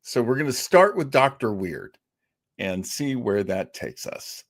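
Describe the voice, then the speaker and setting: Chatty, animated older male podcast host. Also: mid-range voice, measured pace. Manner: chatty and enthusiastic, rambling asides. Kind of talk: casual chat.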